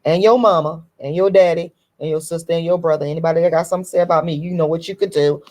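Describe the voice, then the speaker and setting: Hoarse-voiced woman ranting bluntly. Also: steady tone, rapid-fire, a little mellow. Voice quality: deep, hoarse voice. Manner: blunt, emphatic, repeats key phrases. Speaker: YouTube commentator. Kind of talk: casual opinion monologue.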